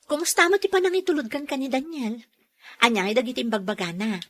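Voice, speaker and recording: An actress plays a gossipy young Ilocano woman in an audio drama, the teasing friend of the group. She is chatty and conversational, with a voice a little higher than most women's. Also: fast talker, a bit bright. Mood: gossipy, confiding. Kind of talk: radio drama acting in Ilocano.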